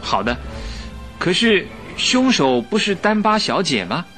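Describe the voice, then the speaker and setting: An actor playing an earnest police inspector in a radio drama, opinionated yet easily flustered, explaining himself defensively. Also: male voice, high-pitched, very animated, measured pace, fairly bright tone, soft background music, heavily acted.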